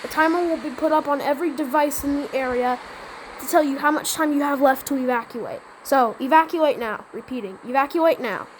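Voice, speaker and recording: High pitched woman doing a fake emergency broadcast thing, pretty noisy behind her. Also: fairly quick. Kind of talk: mock emergency alert and skit narration.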